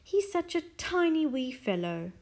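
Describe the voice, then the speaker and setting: A woman putting on a high, gushing, doting lady. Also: big pitch swings, a little slow, darkish tone. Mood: doting, pleading. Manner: high, fluttery and pleading. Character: sweet, gushing kindly woman doting on her pet tortoise.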